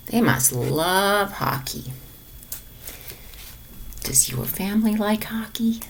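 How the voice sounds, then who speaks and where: A woman reading a children's picture book aloud in an expressive way, as if to young listeners in a lesson. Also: fairly low pitch, slightly rough. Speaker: storybook reader for a lesson.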